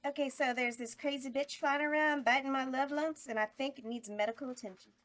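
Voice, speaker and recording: A woman's voice: high-pitched, fairly even intonation, brisk, fairly bright tone. A woman doing a brassy, sultry horror hostess. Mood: playful. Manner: brassy, bawdy, playful. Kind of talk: table read of a horror comedy script.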